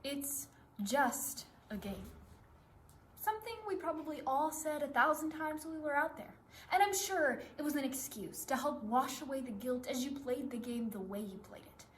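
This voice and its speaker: Actress as stern, reproachful contestant on stage, high female voice, emphatic, faint noise behind. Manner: emphatic short declarations. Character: stern, reproachful survival game contestant addressing fellow players. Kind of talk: readers theatre monologue.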